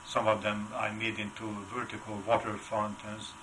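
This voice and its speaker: An older man with a heavy Hungarian accent, answering interview questions in a halting way with many filler sounds. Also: on the low side, completely flat delivery, background noise. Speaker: wood carver, interview guest. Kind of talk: casual interview.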